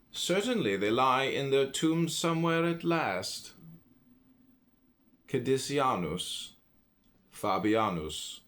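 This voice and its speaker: A man reading classical philosophy aloud in a deliberate, declamatory manner with emphatic pauses. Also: on the low side, fairly bright tone. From United States. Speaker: reader, podcast host.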